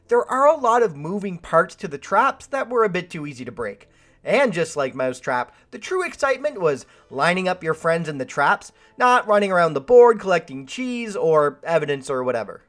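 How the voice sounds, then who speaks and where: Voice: medium pitch, a bit bright. A video essay host delivering scripted narration in a wry way with jokey asides.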